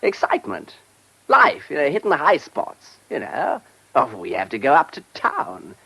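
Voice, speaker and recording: A self-satisfied English country-house owner in a radio drama. He is breezy and boastful, with a lively, swooping delivery and a creaky, rough-edged voice. His talk is full of chummy, moneyed slang. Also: on the high side, normal speed, heavily acted. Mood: blunt, breezy. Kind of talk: British radio drama acting.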